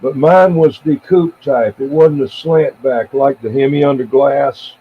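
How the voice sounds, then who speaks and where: A man's voice: medium pitch, unhurried. Older American man, tinny radio sound. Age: 70s. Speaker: CB radio operator and live streamer. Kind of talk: casual rambling radio chat. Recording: tinny band-limited radio audio with faint noise.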